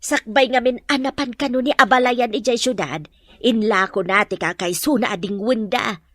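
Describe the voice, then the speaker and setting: A woman's voice: on the high side, moderate pace, a bit bright, dry recording. A woman playing a blunt, sceptical mother who scolds and gossips in a radio drama. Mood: scolding, gossiping. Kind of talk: Ilocano radio drama acting.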